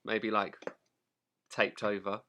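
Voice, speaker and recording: A man's voice: fairly low pitch, flat delivery, slow pace, bright, forceful tone, highs cut off. A man co-hosting a podcast in casual comic banter, with a dry, joking way of talking. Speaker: podcast co-host.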